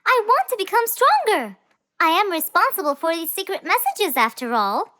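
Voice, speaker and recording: Casting: earnest, innocent young girl for children's cartoon, squeaky-high and very animated, music underneath. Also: moderate pace, exaggerated voice. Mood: earnest persuasion. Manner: pleading, insistent, innocent. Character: earnest, eager, naive little girl, Dorothy.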